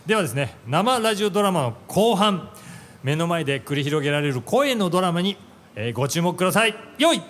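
Casting: male live-event host, rapid, animated delivery, fairly high voice, music behind him. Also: big-room sound, slight background noise. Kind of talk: live stage hosting.

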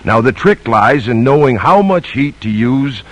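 Husky, spirited old patriot father. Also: mid-range voice, expressive, measured pace, dry recording. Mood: eager, explaining. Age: older. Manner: emphatic, declamatory. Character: spirited, determined colonial American father and metal founder. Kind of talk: 1950s radio drama acting. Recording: old radio broadcast recording.

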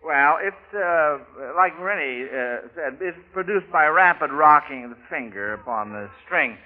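A man's voice: fairly high pitch, expressive, measured pace. An American man hosting an old-time radio children's quiz in a folksy, hesitant, chuckling way, often repeating himself. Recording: narrow-band old radio broadcast.

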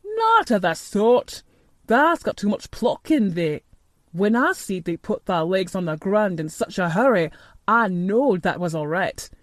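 A woman's voice: mid-range voice, very animated, no room sound. Woman acting a crabbed old Yorkshire gardener man. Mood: dry exultation. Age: older. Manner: dry, grumpy, slow-spoken, dialect speech. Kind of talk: audiobook character dialogue.

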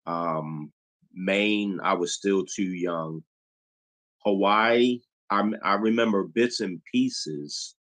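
A middle-aged man from Chicago hosts a relaxed interview in a very low voice. He talks slowly and unhurriedly, filling pauses with ums. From Chicago, USA. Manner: slow, unhurried talker with frequent ums. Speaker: podcast host. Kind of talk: casual interview chat.

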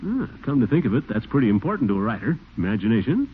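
Pompous middle-aged American blusterer, gullible and easily flustered, wildly swooping delivery, tinny hissy vintage radio comedy. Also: male voice, mid-range voice, big pitch swings, quick pace, slightly rough. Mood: self-satisfied. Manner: blustering, chuckling, self-important. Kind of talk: 1940s-50s American radio comedy acting.

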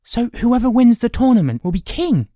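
A woman acts as an excitable youth who longs for knighthood. The voice is fairly high, very animated and pleading, with a soft mellow tone. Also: moderate pace, dry recording, clean studio sound. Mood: excited. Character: eager, excitable young man dreaming of knighthood.

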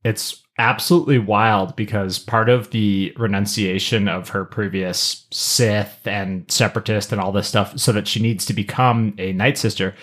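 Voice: sits low, fairly even intonation, moderate pace, few pauses, a bit bright. A podcast co-host chatting casually, adding wry, playful commentary and banter.

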